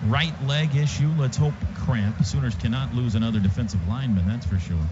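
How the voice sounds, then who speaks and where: An American man doing live play-by-play football commentary on the radio, with excitable, dramatic phrasing. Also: mid-range voice, brisk. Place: stadium radio broadcast booth. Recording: dull lo-fi radio broadcast, loud stadium noise. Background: loud outdoor stadium noise.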